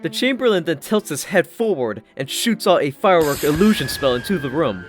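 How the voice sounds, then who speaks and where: A man's voice: fairly high pitch, lively intonation, a little fast. A man reading a scripted first-person story as an audiobook narrator. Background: background music.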